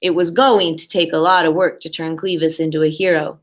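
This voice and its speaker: A woman's voice: low-pitched, fairly even intonation, brisk. A woman primary school teacher reading a story aloud expressively and talking simply and encouragingly to young children.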